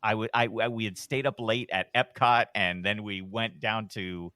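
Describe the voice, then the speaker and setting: Podcast guest, deep-voiced man, middle-aged, relaxed and self-deprecating, dry close mic. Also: moderate pace, no echo. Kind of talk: casual storytelling.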